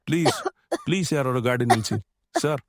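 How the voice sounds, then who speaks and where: A man's voice: mid-range voice, lively intonation, a little fast, no echo, clean studio sound, lightly acted. A man voicing an anxious, considerate middle-aged husband in a cartoon story, pleading and fatherly. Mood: desperate. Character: anxious, considerate older husband seeking help. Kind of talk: cartoon short story dialogue.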